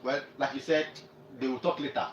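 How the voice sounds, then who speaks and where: A man's voice: mid-range voice, fairly even intonation, fairly quick, distant mic. A Nigerian man talking as a panellist in a live sports radio discussion, wordy and hesitant. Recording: muffled roomy sound, clear noise.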